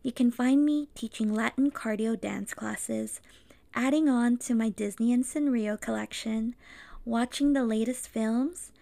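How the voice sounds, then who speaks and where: A woman in her thirties, born in the Philippines and raised in Los Angeles, reading a scripted podcast introduction as co-host in an earnest, measured way. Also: fairly high pitch, creaky, mellow. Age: 30s.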